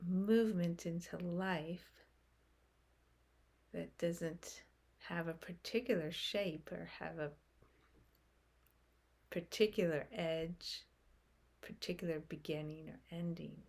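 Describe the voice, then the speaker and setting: A woman leading a guided meditation in a gentle, unhurried manner, speaking in short phrases with long pauses. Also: on the low side, fairly even intonation, vocal fry.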